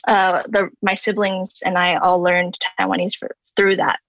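A woman in her twenties, a podcast guest, reciting her own poem and then talking thoughtfully with many pauses and ums. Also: medium pitch, little pitch movement, fairly bright tone, no echo. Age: 20s. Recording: dull lo-fi dry sound.

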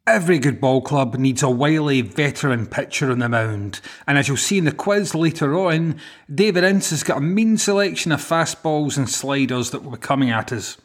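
A man's voice: on the high side, lively intonation, moderate pace. A man with a Glaswegian Scottish accent, hosting a podcast with scripted introductions. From Glasgow, Scotland. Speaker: podcast host.

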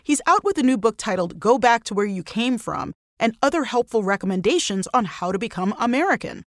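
A middle-aged woman hosting a podcast, reading a scripted intro and then asking relaxed questions. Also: a little high, a little fast, dry recording, clean studio sound. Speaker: podcast host.

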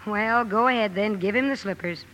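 A woman's voice: mid-range voice, normal speed, fairly bright tone, natural voice. An actress playing a headstrong, brusque tomboy young woman who wants to write, in old-time radio drama style. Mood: brusque, impatient. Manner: brusque, emphatic. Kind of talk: 1940s-50s radio drama acting. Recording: noisy vintage radio broadcast recording.

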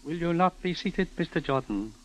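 An actor playing an aged, dignified, gracious Chinese doctor in a 1950s radio drama, speaking softly and with courtly formality. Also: male voice, fairly high pitch, expressive, normal speed, over loud music, lightly acted. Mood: courteous, grave. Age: older. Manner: ceremonious, soft, courtly formal phrasing.

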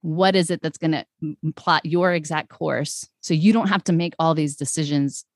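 Low-voiced animated woman, dry sound. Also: moderate pace, dry recording. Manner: animated, laughs often, explains with analogies. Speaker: podcast guest, brand strategist. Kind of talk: casual interview chat.

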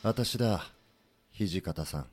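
A man acting an earnest, humble, idealistic leader of a rebel government in a historical audio drama, speaking in a measured, sincere, formal way. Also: low-pitched, lively intonation, faint music behind. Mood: remorseful.

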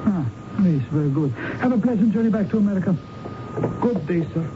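A man's voice: high voice, animated, brisk. An actor playing a formal, courteous but firm male airport security guard in a radio drama. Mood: firm, polite. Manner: formal, clipped politeness. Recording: muffled noisy old radio broadcast.

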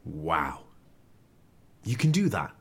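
An actor playing a despairing, anxious famous comedian with no humour left, hesitant and melodramatic, in a scripted audio comedy. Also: male voice, rumbling low, wildly swooping pitch, slow, gravelly, husky, exaggerated voice. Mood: uncertain, relieved.

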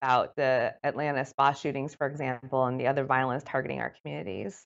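A woman interviewer puts fluent, prepared questions to her guest. Her voice is very low for a woman. The recording is dull and lo-fi, with muffled highs. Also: fairly even intonation, fast talker, gravelly.

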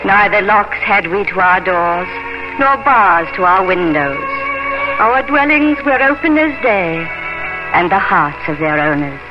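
Casting: tender, dreamy country daughter in vintage radio drama, lyrical phrasing; muffled sound, loud music. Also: female voice, medium pitch, big pitch swings, unhurried. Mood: wistful. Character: gentle, wistful young farmer's daughter narrating memories. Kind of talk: 1950s radio drama, poetic narration. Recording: muffled old radio recording.

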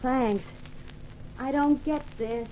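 A woman's voice: high voice, slow pace, dark tone, poor audio. A woman playing a tough, teasing bodyguard in a 1950s radio thriller, with a wry, casual manner. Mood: wry, cool.

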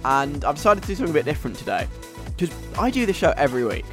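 A man's voice: a little high, big pitch swings, very fast, fairly bright tone, soft background music. A British man hosting a radio show in a casual, chatty, wry and self-deprecating conversational way.

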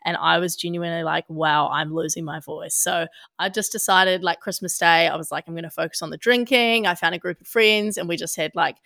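A young woman from Australia chats on a podcast, her voice husky and a little deep from a head cold. She talks in a loose, rambling way, full of fillers and easy laughs. The recording is dry and close. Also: fairly even intonation, brisk, few pauses, sharp tone, dry recording. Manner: chatty, laughs a lot, many fillers like "hey". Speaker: podcast co-host. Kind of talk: casual chat.

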